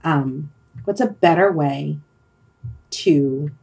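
Female podcast host, middle-aged, low register, conversational, halting and exploratory phrasing. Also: creaky, a little mellow, low-quality recording. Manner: hesitant, thinking aloud, rambling. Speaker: podcast host leading a discussion. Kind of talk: casual reflective conversation.

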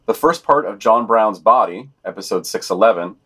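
Wry, lower-voiced man reading podcast news. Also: lively intonation, normal speed, fairly bright tone, slightly rough. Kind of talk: scripted news digest with commentary.

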